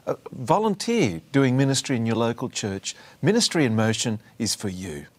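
A man hosting a ministry program, introducing guests and asking questions in a friendly manner, affirming them with short acknowledgements. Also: medium pitch. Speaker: program host. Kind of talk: studio interview.

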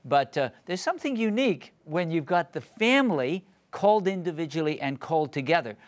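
A man hosting a conversational faith interview, speaking in a reflective, measured way. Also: a little high, expressive. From born in England. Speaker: interview host.

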